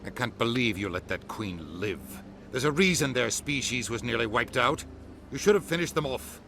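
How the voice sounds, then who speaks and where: Sarcastic, sharp-voiced male ambassador. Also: mid-range voice, expressive, moderate pace, creaky, slight background noise. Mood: disapproving. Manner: sarcastic, scolding.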